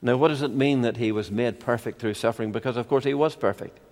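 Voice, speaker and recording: Low-voiced Northern Irish preacher in a reverberant hall. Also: male voice, darkish tone. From Ireland. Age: older. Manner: measured, expository, rhetorical questions. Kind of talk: sermon with personal anecdotes.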